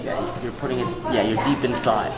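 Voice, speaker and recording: A chatty man in his thirties talks at an easy middle pitch while he directs a photo shoot. He coaxes his model along with quick, cheerful praise. Music plays loudly behind him. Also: fairly even intonation. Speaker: photographer and vlog host. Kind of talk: casual behind-the-scenes vlog, directing a model. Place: small coffee shop indoors.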